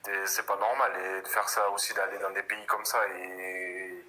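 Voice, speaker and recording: A man's voice: low-pitched, flat delivery, moderate pace, sharp tone, rough recording. A man in his thirties hosting a live chat, questioning his guest and stammering as he pushes back. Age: 30s. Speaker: live stream host. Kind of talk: casual chat.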